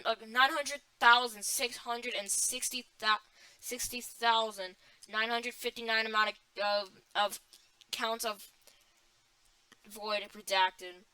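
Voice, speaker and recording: A woman's voice: fairly high pitch, fairly even intonation, takes it slowly, sharp tone, smooth, dry recording, rough recording. A woman playing a flustered emergency alert announcer in an amateur scripted broadcast, stumbling and restarting phrases. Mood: flustered, reporting.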